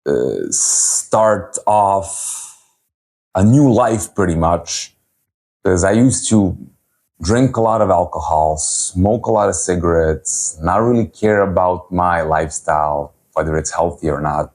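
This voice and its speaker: Man in his 30s, health coach, low voice, slow earnest delivery full of pauses. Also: a little mellow. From Serbia. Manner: earnest, unhurried, with frequent pauses and fillers. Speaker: holistic health coach and speaker. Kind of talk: personal story talk at a virtual event.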